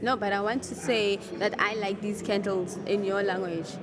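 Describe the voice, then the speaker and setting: A woman's voice: mid-range voice, fairly even intonation, normal speed. A woman hosting a casual, playful interview in a chatty, jokey, giggly manner. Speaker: show host, interviewer. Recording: crowd chatter behind the voice.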